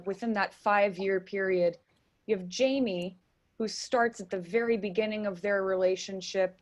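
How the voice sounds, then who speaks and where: Female interview guest, mid-range pitch, chatty and enthusiastic, conversational delivery. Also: darkish tone. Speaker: interview guest, theater stage manager and director.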